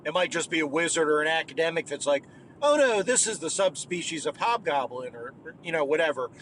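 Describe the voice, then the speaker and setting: A man leaving a voicemail for a gaming podcast, talking haltingly with many restarts and tag questions. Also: on the high side, expressive, a bit bright, a little hiss or hum, poor audio. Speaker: caller. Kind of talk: voicemail message.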